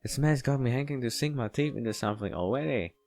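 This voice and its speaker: Male let's player, mid-pitched, halting casual read-aloud delivery, light music bed behind. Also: expressive, darkish tone. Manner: halting, stumbling and rereading lines. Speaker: let's player reading a visual novel aloud.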